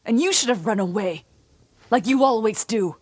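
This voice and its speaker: A woman's voice: fairly high pitch, animated, moderate pace, a little hiss or hum, close to an everyday voice. An actress playing a bitter, accusing female innkeeper, a hardened survivor in a dramatic video game.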